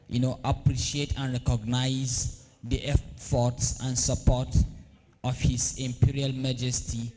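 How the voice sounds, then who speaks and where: A young Nigerian man from the north giving a formal vote of thanks at a ceremony, halting, with many fillers and restarts. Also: mid-range voice, mellow. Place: large hall at a live event. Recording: long reverb in a large hall.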